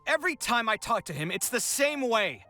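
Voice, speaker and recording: A man's voice: very high voice, very animated, normal speed, pushed and bright, heavily acted. An actor playing a proud, dramatic young male architect in a video game, indignant and wordy. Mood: exasperated. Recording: game audio with music behind.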